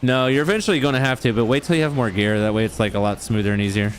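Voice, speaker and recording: A man's voice: medium pitch, rapid-fire, slight background noise. A man in his thirties chatting casually while playing an online game, swearing and joking about himself. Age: 30s. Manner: casual, swearing, self-mocking. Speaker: streamer. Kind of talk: casual gaming chat.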